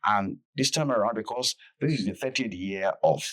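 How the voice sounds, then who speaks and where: Low-voiced man, measured explainer. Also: fairly bright tone. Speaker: podcast guest, festival director. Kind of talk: conversational interview.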